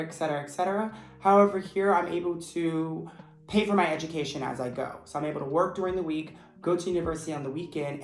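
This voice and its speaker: A man who teaches English, giving a steady, earnest explanation in vlog style. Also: fairly high pitch. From United States. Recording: echoey room with faint music. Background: faint background music.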